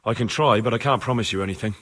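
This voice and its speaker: Game hero male actor: young country lad, lowish voice, earnest, direct, questioning, no frills. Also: rapid-fire, loud music behind the voice, natural voice. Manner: plain-spoken, questioning. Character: earnest young village lad investigating for his lord. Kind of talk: dramatic video game dialogue.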